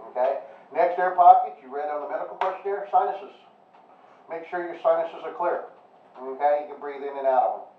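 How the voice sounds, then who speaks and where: Dive instructor with a Nebraska twang, tinny and far off. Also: male voice, a little high. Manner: repeats "okay?" often, plain and reassuring. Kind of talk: pre-dive safety briefing. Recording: echoey, far-off, phone-like tinny sound.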